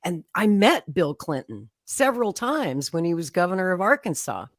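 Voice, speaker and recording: A woman's voice: lowish voice, big pitch swings, normal speed, no room sound, studio quality. A woman, a guest telling her political life story in a chatty way, laughing often and acting out quotes. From Oklahoma, USA. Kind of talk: conversational storytelling.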